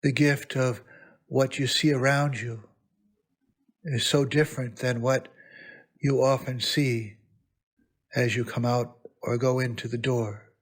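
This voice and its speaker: A man leading a guided meditation as a priest, speaking reverently in short phrases with long pauses between them. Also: medium pitch, steady tone, breathy edge. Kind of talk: guided meditation, spoken prayer reflection.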